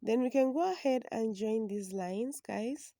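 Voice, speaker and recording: A woman, hoarse from a flu, patiently explaining a sewing pattern step by step. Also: a little high, mellow, dry recording. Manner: slow, patient instructions. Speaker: sewing instructor. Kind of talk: step-by-step drafting tutorial.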